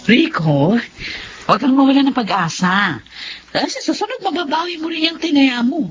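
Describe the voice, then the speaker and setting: A woman's voice: high voice, animated, normal speed. An actress playing a breezy, coaxing woman gambler in a Filipino radio drama. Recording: muffled, noisy radio drama recording.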